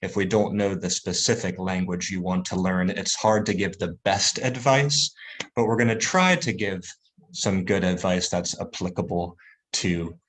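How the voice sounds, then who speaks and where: Guy hosting an interview show, slightly low voice, excited and welcoming. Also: moderate pace. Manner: enthusiastic, welcoming. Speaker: host. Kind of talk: podcast-style interview introduction.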